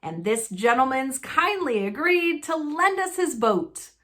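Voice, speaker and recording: A woman voicing a cheerful, bossy middle-aged uncle in a loud, commanding storybook read-aloud. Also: high-pitched, sing-song, measured pace, pitched above the performer's own voice, full character voice. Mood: gleeful, commanding. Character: gleeful, bossy middle-aged uncle herding his family along.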